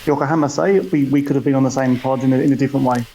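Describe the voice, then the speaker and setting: A man's voice: mid-range voice, fairly even intonation, rapid-fire, warm tone, slight background noise. A man from the UK with a British accent, chatting as a podcast guest over a call, hesitant and full of fillers and restarts. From United Kingdom. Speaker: podcast guest, football fan. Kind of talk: casual chat over a call. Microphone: call audio.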